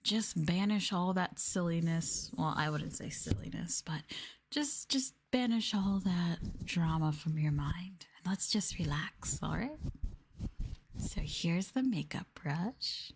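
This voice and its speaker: Female ASMR streamer: hushed, gentle chat that drifts between soft voice and whisper, close-miked. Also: on the low side, animated, slow, a little hiss or hum. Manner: gentle hushed delivery, often slipping into whisper. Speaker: streamer host of an ASMR livestream. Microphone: close microphone for headphone listening.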